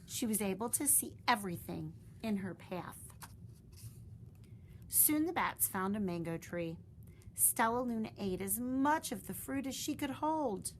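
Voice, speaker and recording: A woman reading a children's picture book aloud with expressive, animated narration. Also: medium pitch, measured pace, slight background noise. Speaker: storyteller.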